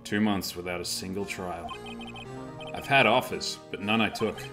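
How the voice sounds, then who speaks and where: A downcast, listless male attorney played by a man from a visual novel. His voice sits fairly low, over quiet game music. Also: fairly even intonation, normal speed, close to an everyday voice. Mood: weary, brooding. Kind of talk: amateur visual novel dub, inner monologue.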